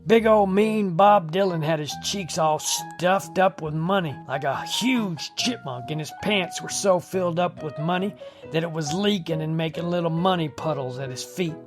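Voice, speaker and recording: A man's voice: on the high side, moderate pace, over music. A man hosting a radio show, telling comic stories in a folksy, mock-solemn way.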